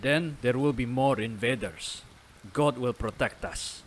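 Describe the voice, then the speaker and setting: Male actor: devout village father, stern and solemn, mid-pitched, archaic formal phrasing, audio drama with ambient noise. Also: a little slow, lightly acted. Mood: stern, suspicious.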